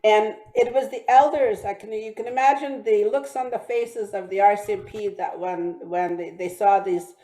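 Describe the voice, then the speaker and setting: Woman from British Columbia, guest speaker, mellow tone, many restarts, echoey room. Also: medium pitch, fairly even intonation. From British Columbia, Canada. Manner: halting, many restarts and fillers. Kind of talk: talk with slides. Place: church hall or online meeting.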